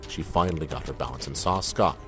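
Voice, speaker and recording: An actor as a grave, measured male storyteller, narrating a scripted audio drama. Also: extremely low pitch, music in the background. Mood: grave, descriptive.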